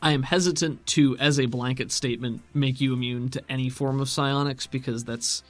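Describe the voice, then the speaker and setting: A man with a medium-pitched voice acts as game master for a tabletop role-playing session. He is conversational and explanatory as he narrates. Faint music plays behind him. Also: steady tone, brisk.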